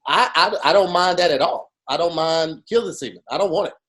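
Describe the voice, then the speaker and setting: A man co-hosting a podcast, joking around in an animated, profane way. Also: a little high, a little fast. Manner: animated, profane, jokey storytelling with mocking quoted voices. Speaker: podcast co-host. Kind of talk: casual comedic chat.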